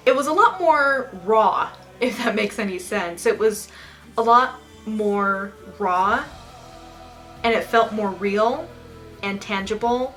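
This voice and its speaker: Young woman, slight lisp, sniffly allergy voice, excitable vlog chatter, bright tone, faint music behind. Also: mid-range voice, poor audio. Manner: bubbly and dramatic, squeals with excitement. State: allergies, sniffly and a bit rough. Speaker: goth music reviewer. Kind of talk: casual album review vlog.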